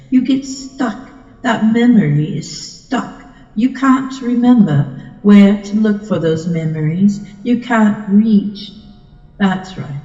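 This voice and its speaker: A woman delivers a hypnosis script in a very dark, mellow voice. She coaxes soothingly, repeating her suggestions as in ASMR. Her voice is muffled and swims in long, echoing reverb. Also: lowish voice. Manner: soothing, coaxing, repetitive trance patter.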